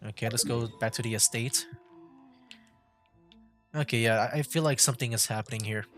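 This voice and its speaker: A man's voice: medium pitch, fairly quick, a bit bright, faint music behind. A man giving casual livestream game commentary, musing aloud in an informal way. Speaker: gaming streamer.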